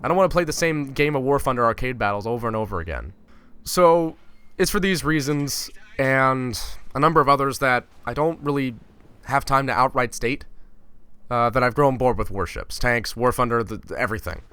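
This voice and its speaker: Young man, from Finland, bright forceful voice, exasperated sarcastic rant, casual vlog talk. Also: medium pitch, animated, moderate pace, clear, resonant tone, dry recording. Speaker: gaming YouTuber.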